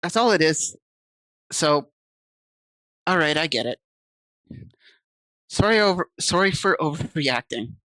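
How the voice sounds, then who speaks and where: A woman's voice: deep voice, animated, unhurried, pauses often, a bit bright, no echo, poor audio. A woman streaming, reading visual novel text aloud and chatting casually with viewers on a desk mic. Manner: chatty, reads game text aloud and answers chat.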